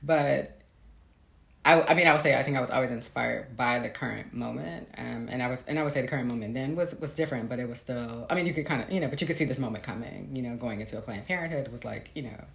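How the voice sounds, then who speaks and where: An American woman with a very deep voice and a gravelly fry, speaking as an author in an interview. She is informal and reflective, feeling her way through her thoughts in a casual chat style. The room echoes noticeably around her. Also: fast. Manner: informal, hesitant, laughs often, frequent "like" and "you know". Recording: roomy echo, faint background noise.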